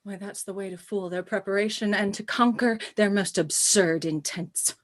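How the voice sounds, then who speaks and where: A woman's voice: mid-range voice, normal speed, close to an everyday voice. A woman playing a proud, doomed queen in a radio play, speaking Shakespearean verse in a stately, declamatory way. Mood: proud, defiant. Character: proud, regal doomed queen, tender and grandiose.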